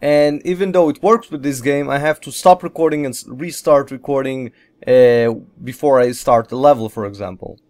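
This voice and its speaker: A man's voice: medium pitch, moderate pace, darkish tone. Man rambling through casual game commentary. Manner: rambling, repeats filler phrases like "whatnot" and "et cetera". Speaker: host of a gaming Let's Play series.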